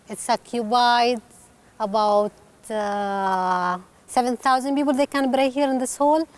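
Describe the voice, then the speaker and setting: A woman guiding a tour through a large prayer hall, speaking haltingly with pauses and fillers. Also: a little high. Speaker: mosque tour guide. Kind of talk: guided tour talk.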